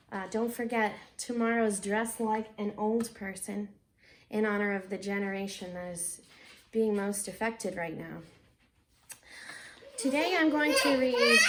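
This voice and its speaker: A woman with a high voice reads aloud as a teacher to children. She takes her time, is expressive, and lands key words deliberately. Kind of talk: read-aloud lesson for children.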